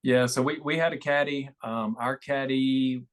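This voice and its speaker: A man's voice: mid-range voice, fairly even intonation, a little slow. A man chatting casually and telling stories as a guest on a podcast. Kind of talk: casual chat, storytelling.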